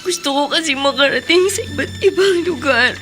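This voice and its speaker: A woman's voice: high-pitched, lively intonation, a little fast, over music. An actress in a radio drama playing a young woman who is crying to her mother. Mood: sobbing. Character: young woman, grieving daughter.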